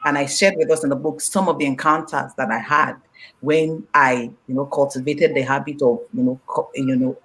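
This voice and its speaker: A woman whose first language is Yoruba, answering questions as an author at a book launch, animated and laughing, with many fillers. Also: rumbling low, normal speed, poor audio. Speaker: author being interviewed.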